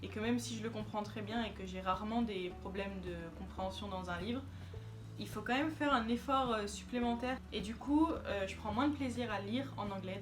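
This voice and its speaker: A woman vlogger talking casually to camera, chatty and hesitant with many fillers. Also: mid-range voice.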